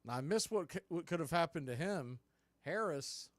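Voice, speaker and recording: Higher-pitched man calling baseball play-by-play, dry sound. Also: expressive, moderate pace, dry recording. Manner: homer fan, informal and colloquial. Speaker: baseball play-by-play announcer for a fan watch party. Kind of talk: live sports play-by-play commentary.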